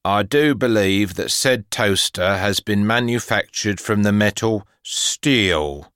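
A man's voice: lowish voice, takes it slowly, a bit bright, clean tone, dry recording, studio quality. A man voicing a pompous, humourless twelve-year-old boy from a storybook, with stiff, formal, disdainful speech. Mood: pompous, declaring.

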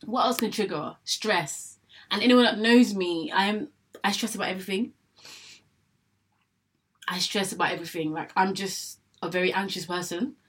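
Young woman from England, husky voice, candid personal monologue, chatty, repeats phrases. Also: mid-range voice, moderate pace, long pauses. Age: young adult. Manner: chatty, repeats herself, acts out her own thoughts. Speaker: vlogger sharing her illness story.

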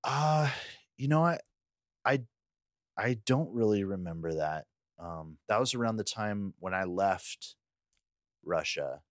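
A man's voice: lowish voice, lively intonation, slow, long pauses, dry recording, clean studio sound. A man co-hosting a podcast, talking in a casual, conversational way. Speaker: podcast co-host.

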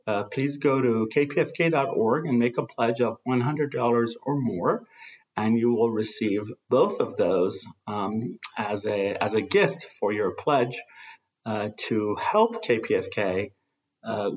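A male radio host in a measured, scripted read, his voice at a middling pitch for a man. He introduces guests and pledge drives at an even pace. The audio is a little dull and lo-fi, as if the top end has been shaved off. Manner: measured, reads from a script with some fillers.

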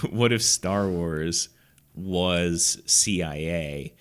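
A man with a cold and a very deep voice, chatting and joking as a podcast co-host. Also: drawn-out words, smooth. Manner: rambling, joking, laughs a lot. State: sick, sore throat. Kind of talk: casual chat.

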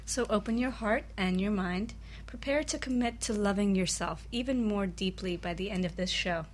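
A woman's voice: mid-range voice, normal speed. A woman co-hosting a radio talk show, reading prepared links and chatting with the host. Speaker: guest co-host. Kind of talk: semi-scripted radio co-hosting. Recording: clearly audible ambient background noise.

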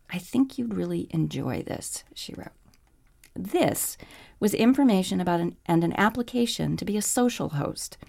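A woman hosting an interview show from a studio, reading a scripted introduction in a friendly, measured way. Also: medium pitch, creaky, mellow. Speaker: podcast host. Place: radio studio.

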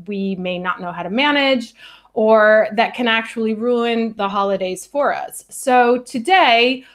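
A woman hosting a live online conversation from home, measured and friendly, with frequent fillers. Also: a little high. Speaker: host. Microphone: video call.

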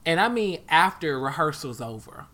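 A man's voice: a little high, sing-song, normal speed, pushed and bright. A man co-hosting a podcast, a dance coach, chatting casually and hammering his point home with repetition. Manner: emphatic, preachy, repeats for stress. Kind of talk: casual chat.

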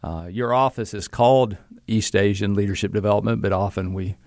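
A man's voice: fairly low pitch, fairly even intonation, brisk, darkish tone, no room sound, clean studio sound. A man hosting a podcast interview as a seminary professor, talking in a friendly, easygoing way and often restarting his phrases.

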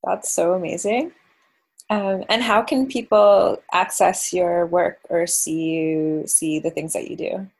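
A woman's voice: lowish voice, steady tone, slow, darkish tone. A woman working as an interviewer, giving casual prompts and questions.

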